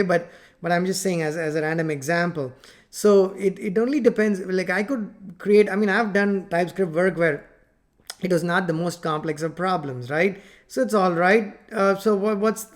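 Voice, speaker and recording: A man in his forties whose first language is Tamil, talking unscripted to a webcam from a home office about software work, rambling with many fillers and restarts while gesturing. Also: high voice. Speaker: software company founder teaching coding. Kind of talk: unscripted talk to camera. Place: home office with plant and photos on wall.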